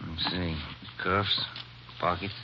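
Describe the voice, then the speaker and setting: An actor playing a steady, wry male detective partner who talks in a brisk, dry way in an old radio drama. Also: sits low, noisy background. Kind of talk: 1950s radio drama acting. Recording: dull lo-fi old radio broadcast with music.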